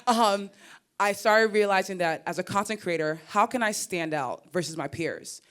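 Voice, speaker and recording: A woman's voice: mid-range voice, moderate pace. A young woman with a Nigerian-American accent, talking animatedly as a creator on a summit panel stage. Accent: Nigerian mixed with American. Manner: animated and expressive, laughs and repeats words. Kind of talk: conference panel talk.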